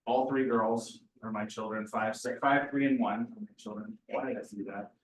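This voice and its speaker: A man's voice: on the low side, fairly even intonation, normal speed. A man giving a chatty, jokey introduction of himself on a real estate panel. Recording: echoey room, distant mic.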